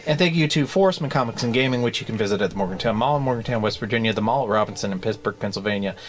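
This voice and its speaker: A man's voice: on the low side, racing. Joking man hosting a podcast. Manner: joking, rambling deadpan bits. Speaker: podcast co-host. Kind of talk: casual podcast chat.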